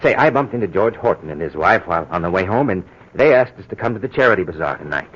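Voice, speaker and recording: A jittery guilty husband. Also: male voice, sits low, expressive, fast talker, fairly bright tone. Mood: cheerful. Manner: jittery, falsely cheerful. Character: nervous middle-aged husband hiding a murder. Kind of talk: 1940s radio acting. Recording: dull old radio recording.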